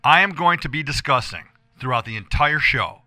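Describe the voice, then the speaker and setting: An American man hosting a casual radio show with a hoarse voice, talking in a rambling, emphatic way and repeating himself as he addresses listeners. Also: medium pitch, lively intonation, normal speed, bright, forceful tone, no room sound. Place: radio studio.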